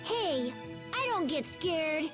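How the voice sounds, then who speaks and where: A woman's voice: extremely high pitch, very animated, slow, over music, exaggerated voice. A woman playing a timid, earnest little boy, meek and hesitant, in a video game dub.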